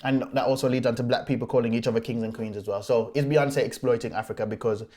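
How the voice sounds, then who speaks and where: Young british guy on a talk show, jokey, animated, laughs a lot, mid pitch. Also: fast talker. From United Kingdom. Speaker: co-host. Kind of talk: casual chat.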